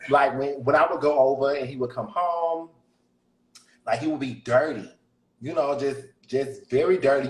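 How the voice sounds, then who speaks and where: Man, deep voice, candid chatty guest, laughs a lot, echoey room. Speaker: guest musician. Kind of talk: casual interview chat.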